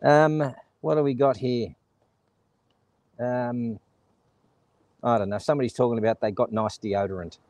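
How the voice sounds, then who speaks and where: A man's voice: medium pitch, fairly even intonation, moderate pace, long pauses, darkish tone, dry recording. A man with an Australian accent hosting a casual conversation, joking, laughing often and reading out viewer comments. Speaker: host. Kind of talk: casual chat.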